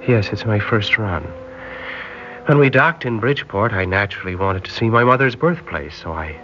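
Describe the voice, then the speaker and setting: A man's voice: fairly low pitch, expressive, normal speed, a bit bright. An actor playing a polite, hesitant nineteen-year-old seaman from Northern Ireland in an old radio drama. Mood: wistful. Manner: polite, hesitant, formal British phrasing. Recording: dull old radio recording, music behind.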